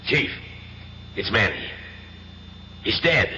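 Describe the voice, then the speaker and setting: Grim cop sergeant, low slow halting voice, old radio drama. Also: male voice, sing-song, sharp tone, exaggerated voice. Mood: grim, shaken. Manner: terse, halting. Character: grim, subdued male police sergeant on a raid. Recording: dull old radio broadcast, echoing hall, faint noise.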